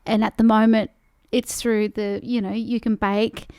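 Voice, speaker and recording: A woman's voice: mid-range voice, little pitch movement, a little slow, darkish tone, clear, resonant tone, no room sound, studio quality. A woman hosting a podcast, giving supportive murmurs of agreement in a casual interview. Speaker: podcast host.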